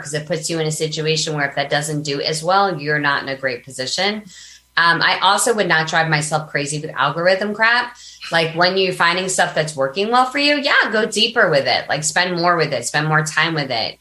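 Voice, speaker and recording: A woman speaking casually as an interview guest, telling things in an animated, colloquial way. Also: on the low side. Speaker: podcast guest, business coach and author.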